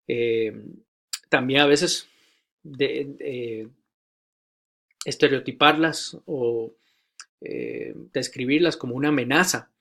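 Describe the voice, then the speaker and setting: A man giving a recorded lecture to church leaders, measured and deliberate, with frequent hesitations and repeated key phrases. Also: medium pitch.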